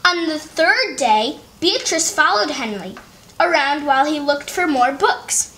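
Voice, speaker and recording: High-pitched woman reading a children's storybook. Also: expressive, a little slow, pushed and bright. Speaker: storybook reader and host. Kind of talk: children's storybook read-aloud.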